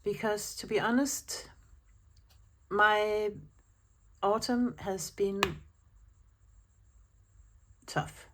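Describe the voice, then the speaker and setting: A woman's voice: medium pitch, steady tone, very slow, long pauses, a bit bright. A woman from Denmark speaking English with a Danish accent, chatting casually at home about her planner, with many fillers and restarts. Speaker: planner vlogger. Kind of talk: casual chat to camera.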